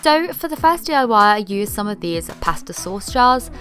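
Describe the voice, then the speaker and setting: A young woman walks viewers through a craft project in a casual, chatty way. Her voice is slightly hoarse because she is losing it, and her pitch sits in the middle. Soft music plays quietly beneath her. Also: sing-song, moderate pace. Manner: chatty, upbeat, lots of "like" fillers. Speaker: DIY vlogger. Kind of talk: casual DIY tutorial voice-over.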